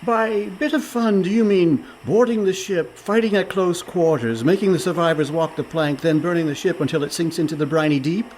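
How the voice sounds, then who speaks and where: An actor playing a quick-witted, placating con man who poses as a pirate in a comedic radio play. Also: fairly high pitch, a little mellow, slight background noise, lightly acted, poor audio. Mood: nervous. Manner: wry, placating, articulate.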